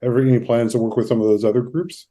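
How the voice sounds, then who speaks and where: A man hosting a conversational interview, measured and attentive, offering brief affirmations between his questions. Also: medium pitch, steady tone, dark tone. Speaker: podcast host, therapist.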